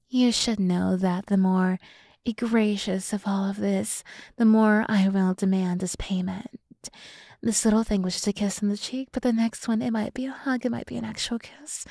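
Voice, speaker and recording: A woman voicing a seductive, scheming demon woman in an ASMR roleplay, often dropping to a breathy whisper as she slowly coaxes and condescends. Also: medium pitch, mellow, no room sound, clean studio sound. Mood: softly menacing. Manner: slow, teasing, condescending toward humans. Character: seductive, scheming demon woman, coolly menacing yet coaxing. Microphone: close microphone, dry sound.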